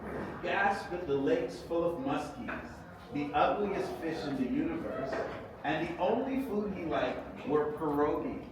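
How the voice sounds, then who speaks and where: A man reads a children's story aloud as its author, theatrically, with expressive delivery and dramatic pauses. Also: on the high side. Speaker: author reading own book. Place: echoey indoor event space. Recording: echoey room, distant mic, noisy background.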